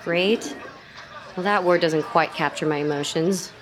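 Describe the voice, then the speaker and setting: Audio drama role: actress as tired, anxious backstage woman, deep-toned, subdued, natural delivery. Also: a little slow, a crowd in the background, close to an everyday voice, rough recording. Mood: weary, tense.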